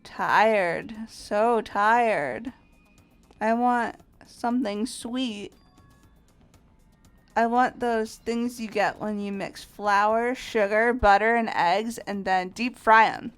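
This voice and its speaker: A woman voicing an energetic, athletic teenage girl obsessed with donuts, gushing and emphatic, in a fan-voiced visual novel game. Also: medium pitch, takes it slowly, clear, resonant tone, soft background music. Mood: tired.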